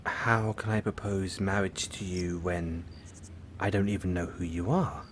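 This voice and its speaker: A man playing a lonely, lovesick supervillain in an audio roleplay, theatrical and halting, often dropping into breathy near-whispers. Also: low-pitched, fairly even intonation, slight background noise. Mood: suave, ominous. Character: lovesick, lonely supervillain, suave musical phantom, obsessive and theatrical. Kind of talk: intimate audio roleplay acting.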